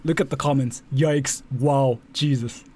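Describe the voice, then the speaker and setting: A man's voice: mid-range voice, steady tone, unhurried, warm tone. A man giving casual, rambling, sweary reaction commentary, often repeating himself. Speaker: commentary streamer. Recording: faint background noise.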